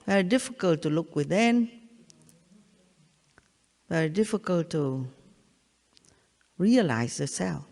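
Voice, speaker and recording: Older Vietnamese-accented woman, spiritual teacher, halting and repetitive, long reverb of a large hall. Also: lowish voice, lively intonation, creaky, a little mellow. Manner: halting, repeats phrases, checks in with "yeah?". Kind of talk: satsang talk.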